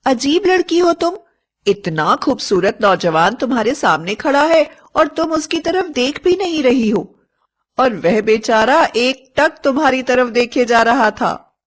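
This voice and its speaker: A woman voicing a helpful, forthright young female college student in an animated story. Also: sits high, a little slow, voice pushed up. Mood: scolding, teasing.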